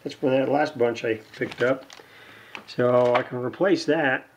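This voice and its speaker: A man giving unscripted, casual narration of hands-on repair work, halting and muttering to himself as he goes. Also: medium pitch, steady tone, slight background noise. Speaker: hobbyist radio repairer, video host. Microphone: camera mic near the workbench.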